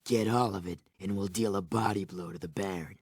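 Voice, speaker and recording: A gruff, deep-voiced resistance commander. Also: male voice, steady tone, fairly quick, no echo, studio quality. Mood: commanding. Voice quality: low, gravelly. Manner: curt, commanding, disdainful. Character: gruff, hardened, scornful underground resistance commander, ex-guard. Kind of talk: video game cutscene acting.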